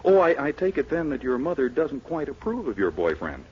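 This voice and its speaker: A man's voice: mid-range voice, very animated, fairly quick, husky. A man narrating a scripted 1940s radio comedy as its announcer, with a solicitous, mock-dramatic manner. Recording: muffled, noisy 1940s radio recording.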